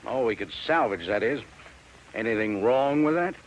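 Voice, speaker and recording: An actor playing a gruff, combative, suspicious cattle rancher in a 1950s radio drama. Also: male voice, medium pitch, lively intonation, normal speed, natural voice, rough recording. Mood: irritated. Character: gruff, hot-tempered, defensive male cattle rancher. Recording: old radio recording, faint noise.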